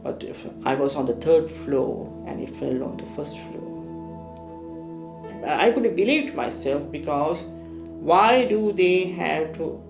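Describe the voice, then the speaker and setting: A middle-aged man from Sri Lanka speaks in a documentary interview, halting often as he searches for words. His voice sits slightly high for a man and carries a gravelly edge. The room sounds echoey, and faint music plays underneath. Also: animated. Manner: hesitant, many fillers and restarts. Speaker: interviewee recounting war memories. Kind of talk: documentary interview, personal recollection.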